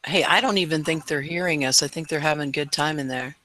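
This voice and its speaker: A middle-aged woman from Oklahoma co-hosting a casual chat, talkative and quick to laugh. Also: low-pitched, bright, forceful tone, a little hiss or hum. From Oklahoma, USA. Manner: chatty, laughs often, drawn-out storytelling. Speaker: co-host of a paranormal chat show.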